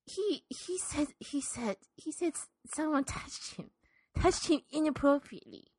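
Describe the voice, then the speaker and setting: Woman doing a high, flustered old lady. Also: lively intonation, unhurried, darkish tone, no echo, rough recording. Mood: distressed. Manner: stammering, flustered, outraged, repeats herself. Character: indignant, quavering, easily upset old woman parishioner. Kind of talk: improvised comedy sketch.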